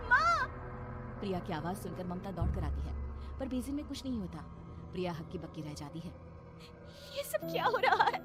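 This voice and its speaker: Animated woman narrating, music behind. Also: on the low side. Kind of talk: dramatic scripted horror story narration.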